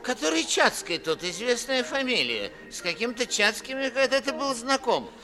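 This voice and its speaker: An actor playing an eager busybody rogue who spreads rumours in a radio play, glib and declamatory. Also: male voice, extremely high pitch, sing-song, normal speed, pushed and bright, exaggerated voice. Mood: glib, knowing. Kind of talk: 1950s Russian radio stage acting. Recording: 1950s radio theatre recording, faint music.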